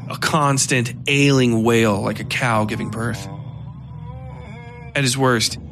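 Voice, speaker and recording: A man narrating dark horror fiction from a script in a measured, literary storytelling manner. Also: mid-range voice, vocal fry. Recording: faint ambient background noise.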